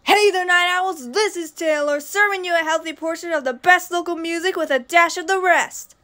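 A woman playing a video game, reading its radio script aloud with an energetic, announcer-like delivery. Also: very high voice, normal speed.

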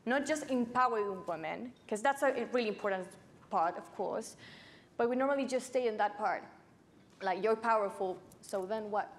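A woman's voice: medium pitch, normal speed, a little hiss or hum. A nineteen-year-old woman from Chile with a Chilean accent, a native Spanish speaker, talking conversationally as an activist on a panel. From Santiago, Chile. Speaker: youth climate activist panelist. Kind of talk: personal story in a panel talk. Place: stage at an indoor panel event. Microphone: lapel mic.

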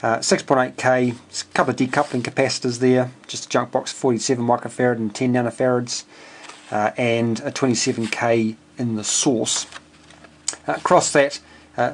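A man with a New Zealand accent giving a casual, unscripted technical walkthrough as a radio hobbyist, hesitant and speaking in short phrases. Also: mid-range voice. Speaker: amateur radio hobbyist presenting his homebuilt receiver. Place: indoors at a home workbench. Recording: clearly noisy home video with clicks.

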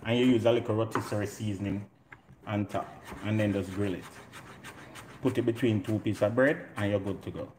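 A man's voice: lowish voice, fairly even intonation, brisk, darkish tone. A middle-aged man with a Jamaican accent, casually and playfully hosting a live home cooking demonstration. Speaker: home cook and show host. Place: indoor home kitchen. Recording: roomy kitchen, clear noise, pot clatter.

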